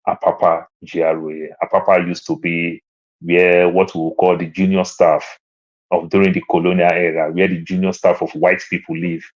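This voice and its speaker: Low-voiced Nigerian man, lo-fi online call. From Lagos, Nigeria. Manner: storytelling, climbs higher and louder when making a point. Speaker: panel speaker. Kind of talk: online panel discussion. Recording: dull lo-fi online audio.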